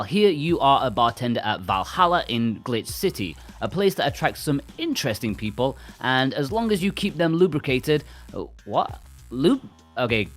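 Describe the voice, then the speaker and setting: A man co-hosting a video game review, reading a script in an informal, chatty manner. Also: medium pitch. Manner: informal, chatty, uses slang. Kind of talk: scripted review narration with casual asides. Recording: music behind the voice. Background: background music.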